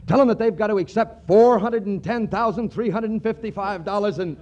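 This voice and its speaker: A man playing an excitable young millionaire heir in a 1940s radio comedy, brisk, eager and emphatic, on an old broadcast recording. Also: sits high, highs cut off, noisy background, lightly acted. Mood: brisk, calculating. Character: excitable young millionaire heir racing to spend his fortune.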